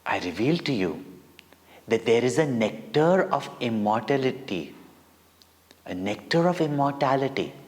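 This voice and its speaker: Male narrator as grave, kindly male deity of myth, measured and unhurried, storybook delivery, reverberant hall. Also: medium pitch, big pitch swings. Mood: solemn. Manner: measured, solemn, pausing.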